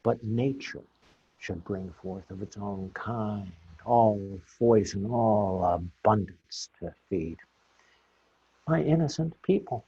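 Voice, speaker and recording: A very husky, gravelly old courtier, acted by a man, musing on his ideal world in Shakespearean verse. He declaims slowly in short, halting phrases. The sound is dull, as on a video call. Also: on the low side, warm tone, exaggerated voice. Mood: grand, rapturous. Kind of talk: staged Shakespeare monologue.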